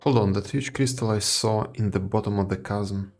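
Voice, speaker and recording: A man's voice: on the low side, moderate pace, darkish tone, soft background music. A man streaming a video game, reading dialogue aloud and reacting offhandedly.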